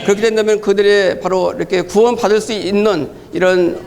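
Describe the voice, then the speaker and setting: Gravelly high-voiced man teaching in church. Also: fairly even intonation, a little fast, a little mellow. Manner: repeats a filler word again and again. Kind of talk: sermon-like teaching.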